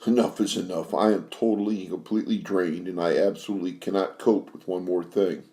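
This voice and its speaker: A man voicing a worn-out, furious woman singer, a diva who turns shrill and scornful. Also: fairly even intonation, brisk, mellow. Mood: drained.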